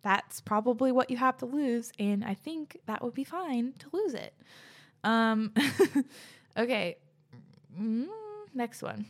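A woman's voice: a little high, animated, slow, pauses often. A young woman hosting a podcast, chatting casually and gushing over listener submissions. She talks in a bubbly way, says "like" a lot, and sounds a little out of breath. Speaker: podcast host.

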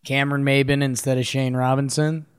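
A man's voice: medium pitch, level tone, fairly quick, smooth, no room sound, clean studio sound. A man co-hosting a sports podcast with casual, joking, slangy banter.

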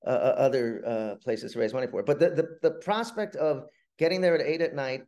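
A middle-aged man, a playwright being interviewed, tells stories conversationally in a halting, rambling way, often repeating words. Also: medium pitch, darkish tone.

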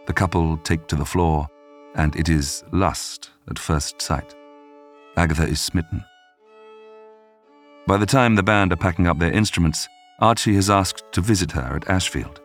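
A man narrating a history podcast from a script in a measured storytelling manner. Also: sits low. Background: music.

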